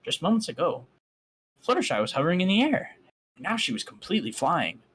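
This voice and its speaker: A man's voice: a little high, very animated, brisk, a bit bright, slight background noise. A man reading a fan story aloud on a voice call and breaking off for sarcastic, mocking asides. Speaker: fan fiction reader.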